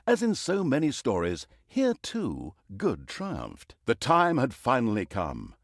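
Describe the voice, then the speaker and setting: A man playing a wry, mocking storyteller who narrates a dark fantasy comedy game in a theatrical way. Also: medium pitch, wildly swooping pitch, slow pace, no room sound, studio quality, heavily acted. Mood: wry, dramatic.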